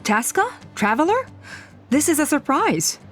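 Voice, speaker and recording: A woman's voice: high voice, very animated, a little slow, music in the background. Composed female clan elder. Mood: calm. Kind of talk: animated video game voice acting.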